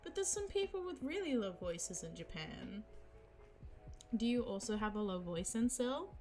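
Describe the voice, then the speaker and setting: A young woman streamer chatting casually with viewers, giggling and teasing herself, her voice a little low for a woman and her throat sore. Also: animated, normal speed, a little mellow. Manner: chatty, giggly, self-mocking. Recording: music playing behind the voice.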